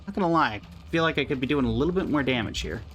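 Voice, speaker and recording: A man's voice: mid-range voice, animated, quick pace, a bit bright, lo-fi sound, slight background noise. A man giving casual live commentary while playing a video game, with dry, muttered asides to himself and the game. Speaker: gaming streamer reacting to gameplay.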